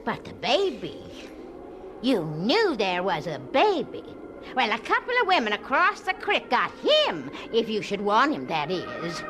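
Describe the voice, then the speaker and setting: A woman's voice: fairly high pitch, huge pitch swings, a little slow. An actress playing a knowing, gossipy country neighbor in a radio drama, teasing and drawing out her phrases. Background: music.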